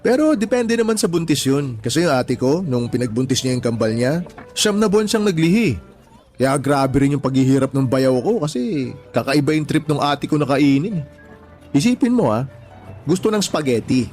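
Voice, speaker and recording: A man's voice: fairly high pitch, very animated, moderate pace, a little mellow, faint music behind. An actor playing a married father, a sympathetic friend chatting matter-of-factly in a radio drama. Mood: reassuring, chatty. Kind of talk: Tagalog radio drama dialogue.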